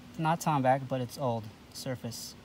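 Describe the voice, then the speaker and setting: A man's voice: medium pitch, moderate pace, a little mellow. Male vlog host, informal and chatty with viewers, handheld phone sound with background ambience. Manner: informal, addresses viewers as guys. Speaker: metal detecting video host. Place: mostly outdoors in grass and along a river. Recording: clear background noise, outdoor ambience.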